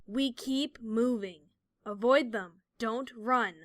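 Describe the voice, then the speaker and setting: A woman's voice: on the high side, slow. A woman voicing a bold female pegasus pony adventurer in an audio drama, terse and commanding under danger. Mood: tense, controlled. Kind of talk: audio drama character acting.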